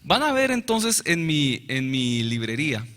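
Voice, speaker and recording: A man interpreting a Bible lecture into Spanish, following the speaker phrase by phrase in a brisk, fluent way. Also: fairly high pitch, big pitch swings, fairly bright tone. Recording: reverberant hall.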